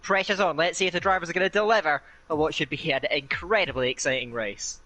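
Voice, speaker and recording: A young Scottish man commentating live on an online racing league, chatty and filling pauses with "uh". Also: on the high side, pushed and bright, no room sound. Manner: chatty, with frequent "uh" fillers and a quick laugh. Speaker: esports race commentator.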